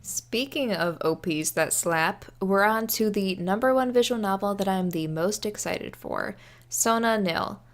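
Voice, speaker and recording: A woman's voice: mid-range voice, unhurried. A young adult woman giving casual, informal video commentary on games in a chatty, enthusiastic way. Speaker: video creator. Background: background music.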